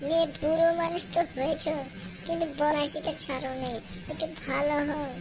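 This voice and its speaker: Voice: high voice, steady tone, brisk. A performer voicing a cheeky, argumentative young boy who scolds his elder in a comedy cartoon. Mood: scolding, accusing. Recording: clearly noisy background.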